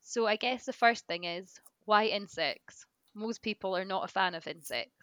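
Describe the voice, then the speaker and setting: A woman's voice: medium pitch, moderate pace, a bit bright, dry recording. A woman interviewer asking short, direct questions.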